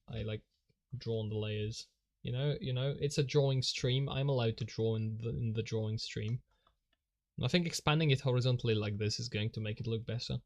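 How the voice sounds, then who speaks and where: A man whose first language is Polish, rambling and self-mocking as he thinks aloud while drawing on a live stream, chuckling often. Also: medium pitch.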